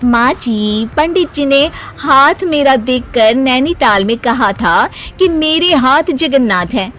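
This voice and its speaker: A woman plays a proud young wife in an animated family tale. Her voice is high and very animated, bossy and emphatic. There is some noise behind her. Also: slow pace, full character voice. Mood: proud, cheerful. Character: proud, chirpy young married woman, boastful and stubborn. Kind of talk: animated Hindi moral story voice acting.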